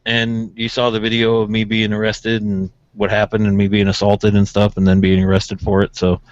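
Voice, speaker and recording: A man's voice: fairly low pitch, completely flat delivery, normal speed, no room sound. An American man hosting a radio show in casual chat, rambling informally through his stories. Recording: dull, lo-fi audio.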